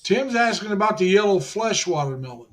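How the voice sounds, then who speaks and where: Chatty man hosting a stream, high-ish voice. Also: lively intonation, a little fast. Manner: chatty, reads out viewer comments. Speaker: livestream host. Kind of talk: casual group chat on a livestream.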